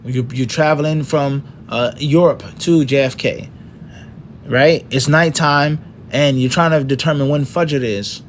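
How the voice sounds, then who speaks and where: A man's voice: a little high, steady tone, a little slow, a little hiss or hum. A middle-aged man teaching an Islamic lesson, working through points one by one and asking rhetorical questions. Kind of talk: lecture.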